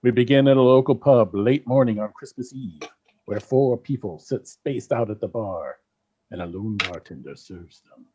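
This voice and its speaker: A man's voice: mid-range voice, moderate pace, warm tone, dull audio, a little hiss or hum. A man reading scripted narration as the announcer of a comedy radio play.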